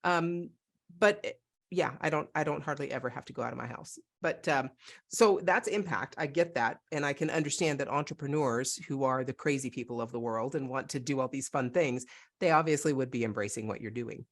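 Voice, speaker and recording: A woman's voice: extremely low pitch, brisk. A woman from Northern California hosting a podcast from her home, chatting with enthusiasm. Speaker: podcast host. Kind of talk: casual interview chat.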